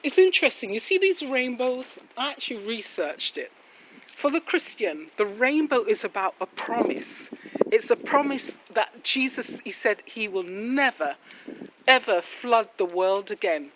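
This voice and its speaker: A woman's voice: on the high side, animated, a little slow. Woman chatting outdoors, muffled and noisy. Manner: rambling, admiring, exclaiming. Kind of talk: casual walking vlog with devotional reflections. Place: outdoors on a residential street after rain. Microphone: handheld phone while walking. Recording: muffled, noisy outdoor street recording with traffic.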